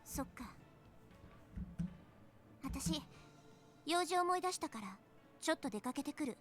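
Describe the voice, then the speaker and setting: A woman's voice: high-pitched, animated, normal speed. An actress playing a young woman in a tense science-fiction anime visual novel. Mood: subdued, clipped. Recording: game audio with music underneath. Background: music.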